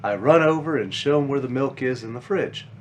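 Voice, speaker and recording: A man's voice: mid-range voice, fairly quick, slight background noise, natural voice, rough recording. A man voicing a caring five-year-old big sister bunny from a children's picture book, explaining things simply and earnestly.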